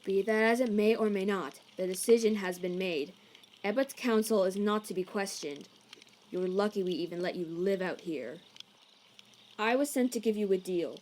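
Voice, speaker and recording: A woman voicing a haughty, prejudiced male envoy in an animated comic dub, stiff, officious and condescending. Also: mid-range voice, normal speed, a little hiss or hum, close to an everyday voice. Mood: dismissive.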